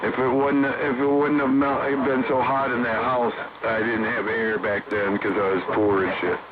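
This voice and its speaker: A man's voice: mid-range voice, flat delivery, moderate pace. An older American man chatting casually over CB radio, rambling in a folksy, blunt, salty way. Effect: CB single-sideband radio. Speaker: amateur CB radio operator. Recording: tinny narrowband CB radio, loud static.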